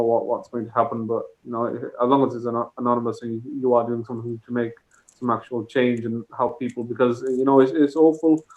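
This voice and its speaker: A man in an online discussion, speaking haltingly and filling gaps with "you know". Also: medium pitch, darkish tone, low-quality recording. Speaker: participant.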